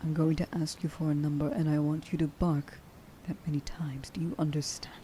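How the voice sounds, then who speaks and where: A woman playing a stern, grieving huntress in a dramatic ASMR roleplay monologue, halting and intense in her delivery. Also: sits low, level tone, dark tone, slight background noise, close to an everyday voice. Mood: pleading, shaken. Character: stern, grieving young huntress who hates werewolves.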